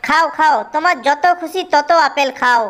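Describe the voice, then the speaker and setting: A high-pitched young cartoon bird played by a man, male and easily smitten. He is a dutiful nephew who talks in an eager, coaxing way. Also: normal speed, a bit bright.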